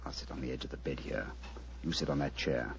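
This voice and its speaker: An actor playing a commanding, razor-sharp detective with a British accent and precise theatrical diction, in a 1940s radio drama. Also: male voice, a bass voice, fast talker, vocal fry. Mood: hushed, tense. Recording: dull, noisy 1940s radio recording.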